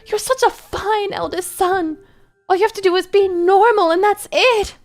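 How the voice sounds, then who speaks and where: A woman's voice: very high voice, normal speed, soft background music. A woman playing an anxious mother in a drama, coaxing and fussing over her troubled eldest son with pleading insistence. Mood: pleading, insistent. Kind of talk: dramatic character acting.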